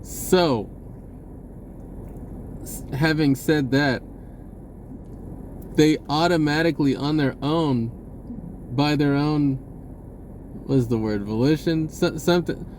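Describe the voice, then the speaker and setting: A man giving a rambling, casual spiritual monologue in a halting, informal and blunt way, swearing at times. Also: fairly high pitch, darkish tone, a little hiss or hum. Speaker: vlogger speaking a personal message.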